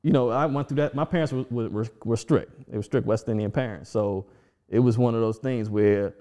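A man's voice: medium pitch, fairly quick, dark tone. A man in his forties talking casually and reflectively as a guest, with frequent restarts and fillers. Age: 40s. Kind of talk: casual conversation.

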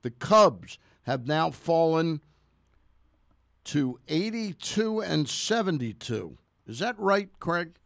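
A man's voice: fairly high pitch, big pitch swings, takes it slowly, vocal fry, a bit bright, dry recording, clean studio sound. An American man hosting a sports show with casual, chatty talk, often checking facts with his partner.

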